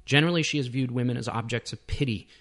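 A man's voice: mid-range voice, fast, a bit bright. A man reading his own short story as scripted literary narration.

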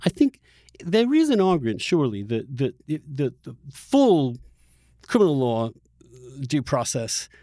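A man hosting a discussion podcast, talking through political arguments in a thoughtful, halting way and often repeating words mid-sentence. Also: medium pitch, sing-song, warm tone, no room sound, clean studio sound. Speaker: podcast host interviewing a guest.

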